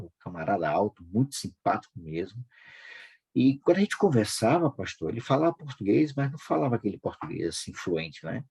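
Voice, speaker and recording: Man, Brazilian accent, very rough husky voice, lowish pitch, fervent devotional testimony, emphatic repetition. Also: dark tone. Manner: fervent, emphatic, repeats phrases. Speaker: host of a devotional prayer broadcast.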